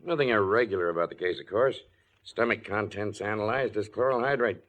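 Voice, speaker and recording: An actor playing a businesslike but easygoing police inspector, clipped and matter-of-fact, in 1940s radio style. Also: male voice, on the low side, brisk, phone-quality sound, close to an everyday voice. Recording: vintage 1940s radio broadcast.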